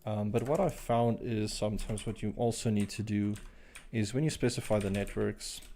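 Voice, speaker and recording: A man hosting a live tech stream, thinking aloud as he types commands, his voice croaky today. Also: fairly low pitch, fairly even intonation, moderate pace, warm tone, a little hiss or hum. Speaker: livestream host. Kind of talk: casual live tech walkthrough.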